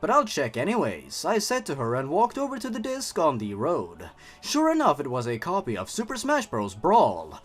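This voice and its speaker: Very animated man reading with sarcastic flair. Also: a little high. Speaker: host reading and mocking a fan horror story. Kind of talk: dramatic story reading with mocking asides.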